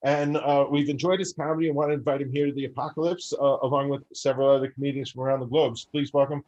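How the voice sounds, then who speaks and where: A man co-hosting a comedy show with casual, ad-libbed banter in a rambling, halting way. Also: mid-range voice, fairly even intonation, darkish tone, lo-fi sound.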